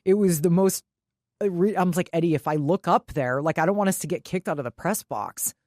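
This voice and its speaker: A woman's voice: fairly low pitch, a little fast, mellow, dry recording, clean studio sound. Woman chatting as sports co-host. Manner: conversational, quotes herself and others. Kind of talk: casual chat, animated retelling.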